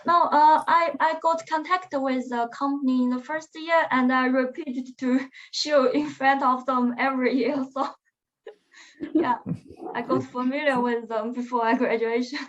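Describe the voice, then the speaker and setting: A young woman speaking English as a second language, answering interview questions in a halting, hesitant way. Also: high-pitched, steady tone. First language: not English; non-native speaker. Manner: hesitant, halting phrasing with restarts. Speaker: alumna guest. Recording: muffled lo-fi webinar audio.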